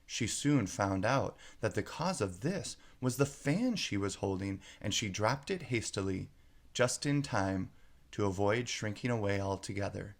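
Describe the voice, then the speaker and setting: Unhurried, expressive male storyteller with creaky voice. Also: fairly low pitch. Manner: measured, expressive. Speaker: storybook narrator. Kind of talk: expressive read-aloud narration.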